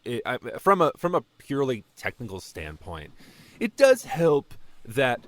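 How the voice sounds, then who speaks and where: A man answering as a guest voice actor in a casual interview, halting and often correcting himself. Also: mid-range voice, wildly swooping pitch, dry recording.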